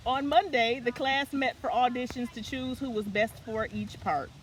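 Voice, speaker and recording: A woman's voice: fairly high pitch, steady tone, moderate pace, slight background noise. A woman reading a children's picture book aloud as a story time reader, now and then stumbling and correcting herself.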